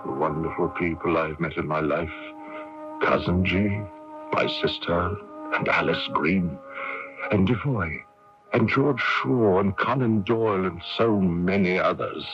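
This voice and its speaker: Deep, slow, weary imprisoned patriot. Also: male voice, very animated, over music. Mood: resigned, gentle. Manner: deliberate, halting, earnest. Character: weary, idealistic imprisoned Irish patriot, resigned yet defiant. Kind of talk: radio mystery drama acting. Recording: dull lo-fi radio recording.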